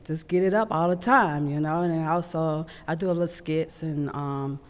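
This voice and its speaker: A woman's voice: sits low, normal speed, big-room sound. A woman with a Mississippi Southern accent, a singer casually introducing herself in an interview. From Indianola, Mississippi.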